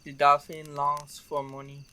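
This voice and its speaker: Young man as bright-voiced, even-toned French duke. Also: on the high side, a little slow. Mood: loyal defense. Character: loyal, courtly French duke, mildly weary. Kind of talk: radio theater Shakespeare acting.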